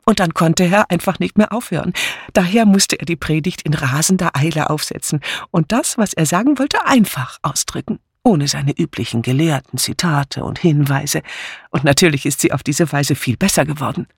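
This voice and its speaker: A man's voice: a little high, expressive, moderate pace, vocal fry, slightly rough, dry recording, studio quality, pitched above the performer's own voice, exaggerated voice. A man voicing a chatty, scatterbrained middle-aged vicar's wife who rambles on breathlessly in an audiobook reading. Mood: gushing. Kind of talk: audiobook character voice.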